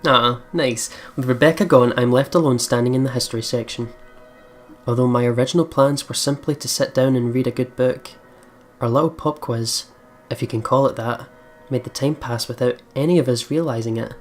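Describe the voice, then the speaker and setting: Casting: informal, chatty game commentator with a middle-pitched voice, swears freely, reads text aloud, soft music behind. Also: steady tone, a little fast. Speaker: let's play host. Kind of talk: casual game commentary mixed with reading aloud.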